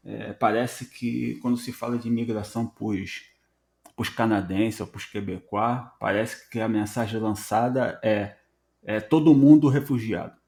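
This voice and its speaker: A Brazilian man hosting a podcast, telling stories in a casual, animated way and acting out bits of dialogue. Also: on the low side, a little slow, gravelly. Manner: conversational, animated storytelling with voiced dialogue. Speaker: podcast host.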